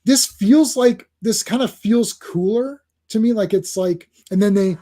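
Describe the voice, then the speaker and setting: A man's voice: high voice, measured pace, warm tone. A man hosting a casual comics livestream, chatting through a comic and greeting viewers in the chat.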